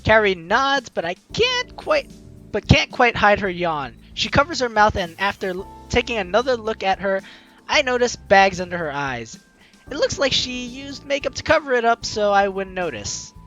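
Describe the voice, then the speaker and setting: Excitable, bright-voiced young gamer with animated pitch. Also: normal speed. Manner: excitable, playful, theatrical. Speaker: let's play host. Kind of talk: casual gameplay commentary with dramatic reading of dialogue. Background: faint game music.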